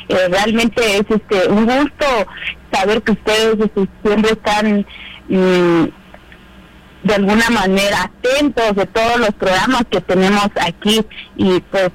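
A man hosting a bilingual community radio show, greeting listeners and callers in an unhurried, friendly way. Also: sits high, a little hiss or hum, low-quality recording. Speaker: radio host.

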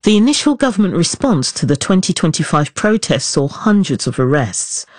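A man hosting a documentary podcast, reading scripted narration in a clear, emphatic way. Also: on the high side, sing-song, moderate pace, dry recording.

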